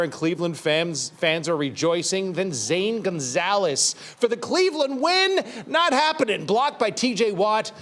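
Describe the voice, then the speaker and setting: A man anchoring a TV sports report and narrating highlights with an animated, wry delivery.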